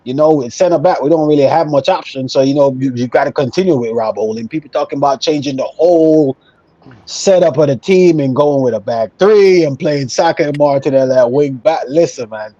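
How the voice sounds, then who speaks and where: A man's voice: a little high, a little fast, dark tone, no echo, muffled recording. A man talking casually and emphatically as an opinionated football fan on a panel show, repeating himself for emphasis. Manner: emphatic, repetitive, conversational.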